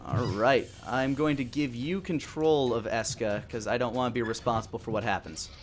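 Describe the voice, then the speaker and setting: A man's voice: medium pitch, normal speed. A man in his thirties running a live tabletop game as game master, improvising narration of the action. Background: music.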